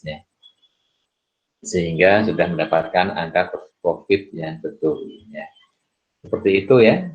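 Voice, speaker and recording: A man explaining worked examples as an accounting lecturer, halting and repeating words, often adding little check-in tags. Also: low voice. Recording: dull lo-fi voice recording.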